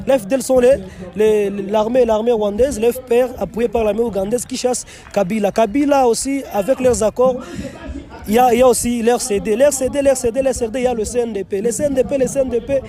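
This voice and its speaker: A young Congolese man with a notably high voice speaks earnestly, stacking and repeating phrases to drive his points home. He is recorded outdoors, with a thick crowd audible around him. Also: fairly even intonation, brisk. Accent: Congolese French. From Goma, DR Congo. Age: young adult. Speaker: NGO director and youth political official. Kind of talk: on-location interview answers. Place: outdoors on location. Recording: loud crowd noise behind.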